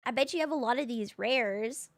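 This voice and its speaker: A woman game streamer chatting casually with her viewers while she plays, slightly raspy from just waking up, easygoing and quick to laugh. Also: on the high side. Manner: chatty and easygoing, laughs often, thinks aloud. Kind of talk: casual live-stream chat, answering viewers.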